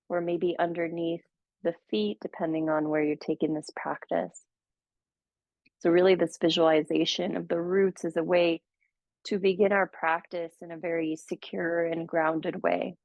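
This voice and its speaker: A woman guiding a meditation, speaking slowly and gently with soothing pauses. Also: fairly low pitch, dry recording. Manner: slow, gentle, soothing guidance with long pauses. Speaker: meditation teacher. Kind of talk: guided meditation. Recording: dull, muffled audio.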